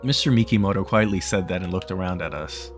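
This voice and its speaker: A man's voice: low voice, fast, soft background music. A man reading visual novel narration aloud during a let's play.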